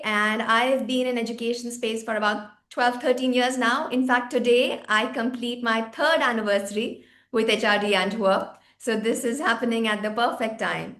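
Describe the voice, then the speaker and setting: A woman's voice: a little high, fairly bright tone, roomy sound. A woman in her forties from India, speaking as a panelist in an online seminar, articulate and enthusiastic. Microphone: video call.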